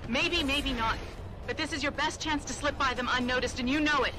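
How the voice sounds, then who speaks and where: A woman's voice: sits high, brisk, close to an everyday voice. A woman playing a plucky female pilot in a video game, clipped and cocky. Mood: resolute. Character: brisk, plucky female military-style pilot. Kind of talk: video game cutscene acting.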